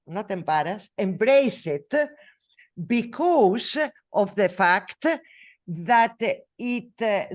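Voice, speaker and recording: A woman from Greece with a Greek accent, giving an academic lecture in English, her second language, with many fillers and self-corrections. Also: medium pitch. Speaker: researcher giving a talk.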